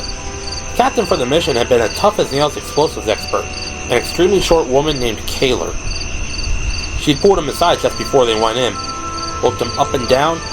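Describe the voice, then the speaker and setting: A man reading scripted, dramatic audiobook narration as the narrator of an audio drama. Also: mid-range voice. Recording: noisy, night insect ambience behind. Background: crickets and ambient sound effects.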